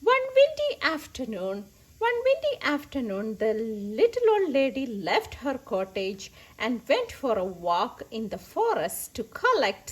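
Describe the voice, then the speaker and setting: A woman reading stories aloud for children as the host, animated and emphatic with repeated words. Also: on the high side, low-quality recording. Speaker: children's story reader and host.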